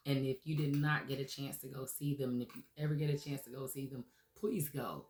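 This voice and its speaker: A woman host gives an earnest, encouraging monologue, her voice raspy as it comes back from laryngitis. She speaks at an even, level pitch, checking in with listeners now and then. The sound is roomy, with a noticeable echo. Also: brisk. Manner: earnest, encouraging, frequent "okay?" and "um". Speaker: radio show host. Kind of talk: motivational talk show monologue.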